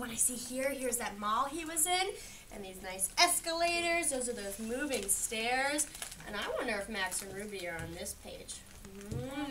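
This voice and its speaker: A woman's voice: a little high, bright, forceful tone. An American woman reading a picture book aloud interactively, chatting, asking questions and encouragingly echoing the answers. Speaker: picture-book reader with a child. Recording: noisy room, distant microphone.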